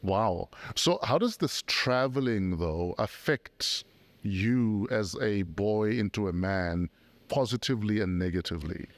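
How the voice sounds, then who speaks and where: Animated South African man hosting a talk show. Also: lowish voice, unhurried, no echo. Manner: playful, joking. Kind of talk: casual talk show interviewing.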